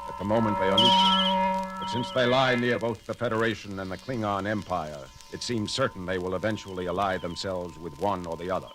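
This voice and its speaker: A man's voice: sits low, steady tone, a bit bright, poor audio. A man playing a cool, logical alien officer. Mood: calm. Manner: measured, precise and formal. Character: calm logical male alien science officer. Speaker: first officer. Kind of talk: 1970s audio drama.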